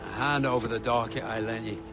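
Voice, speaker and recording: An actor playing a brash, mocking pirate schemer with a theatrical delivery in a video game. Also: male voice, medium pitch, steady tone, fairly quick, music in the background, lightly acted. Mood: businesslike, admiring. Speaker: pirate comrade. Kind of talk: dramatic video game acting.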